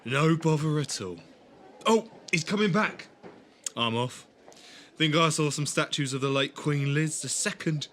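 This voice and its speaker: A man's voice: fairly high pitch, measured pace, a bit bright, slight background noise. A man playing an eager, kindly young companion, chatty and a little awkward. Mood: encouraging. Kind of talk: fan audio drama acting.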